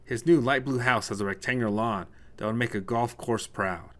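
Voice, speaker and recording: A man's voice: medium pitch, a little fast. A man narrating a scripted short story as a storyteller.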